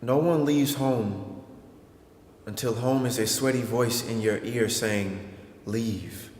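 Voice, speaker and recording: A young man performing a dramatic spoken-word stage monologue with emphatic, deliberate phrasing and pauses. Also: medium pitch, a little mellow, slight background noise. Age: young adult. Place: theater performance space. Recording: echoey hall with long reverb.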